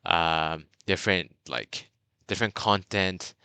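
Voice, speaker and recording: A man's voice: low-pitched, steady tone, slow pace, bright, forceful tone, clear, resonant tone, dry recording. A young man from Burma giving a casual video lesson for beginners, chatty and full of fillers and restarts. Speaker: language tutor.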